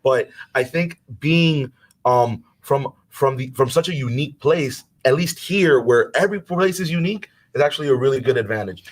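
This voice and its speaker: A man's voice: medium pitch, moderate pace. A man with a Miami accent, hosting a casual live interview, talking in loose rambling stretches with many restarts. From Cuba. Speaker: host.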